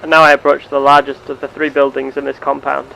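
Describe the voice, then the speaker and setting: A man narrating his gameplay in character as an earnest, curious starship captain, with formal, deliberate wording. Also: medium pitch, monotone, gravelly, bright, forceful tone, a little hiss or hum, poor audio. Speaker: player of a roleplay game series.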